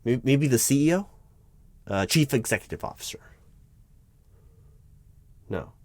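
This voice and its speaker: A man playing a nervous, polite recruiting manager in a job interview roleplay, speaking aloud in a halting, stammering, apologetic way. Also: medium pitch, animated, a little mellow, no echo, lightly acted. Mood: puzzled, distracted. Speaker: roleplay performer. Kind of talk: one-sided job interview audio roleplay, spoken aloud.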